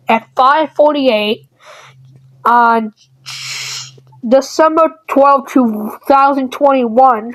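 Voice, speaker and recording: A woman reading out an improvised mock emergency alert in a halting, deliberate way, with stumbles. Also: high voice, poor audio.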